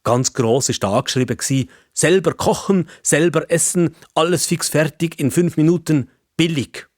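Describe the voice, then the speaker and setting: A man's voice: on the low side, a little mellow, dry recording, studio quality. A man with a Swiss German accent narrating a scripted story for children.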